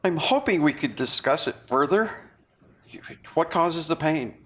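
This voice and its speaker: An actor at a table read playing a remorseful, philosophizing man, with a halting, confessional and earnest manner. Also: on the high side, lively intonation, fairly bright tone. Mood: earnest, pondering. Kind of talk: read-through of a stage drama script. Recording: clearly noisy room recording.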